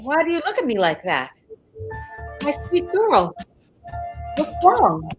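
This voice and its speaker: An actress playing a soothing mother who gently calms her daughter. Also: high voice, slow, music in the background, full character voice.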